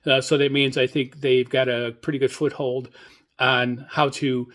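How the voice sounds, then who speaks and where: An older man with a mid-range voice talks as the solo host of a hobby radio podcast. He gives informal commentary on news, moving haltingly and rambling from point to point. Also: medium pitch, little pitch movement, a bit bright. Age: older, 60s or above. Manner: hesitant, halting, rambling delivery.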